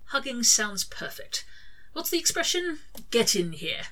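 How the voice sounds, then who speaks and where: A woman's voice: on the high side, sing-song, unhurried, pushed and bright, voice pushed up, full character voice. A woman voicing a fussy, dignified male angel with a formal, proper manner. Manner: formal, proper, mildly indignant.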